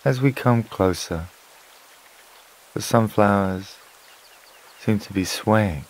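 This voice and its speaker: A man narrating a scripted sleep meditation in a calm, soothing, unhurried way, with long pauses between phrases. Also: lowish voice, low-quality recording. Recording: water and rain sounds behind voice. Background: flowing stream, rain-like ambience.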